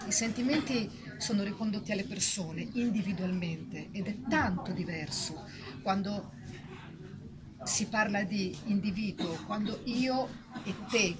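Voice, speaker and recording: A woman in spontaneous reflective discussion, emphatic, repeating short phrases as she searches for words. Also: medium pitch. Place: public festival discussion, noisy surroundings. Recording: very noisy live event recording.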